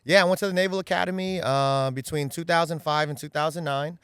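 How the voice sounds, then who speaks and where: A man's voice: a little high, fairly even intonation, fast talker, fairly bright tone, clean tone, dry recording, clean studio sound. A man in his thirties from the US West Coast, a guest in an interview, talking earnestly and at length, often saying "right?" and "um". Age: 30s. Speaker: guest, real estate agent. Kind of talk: casual interview talk. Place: outdoors in a backyard.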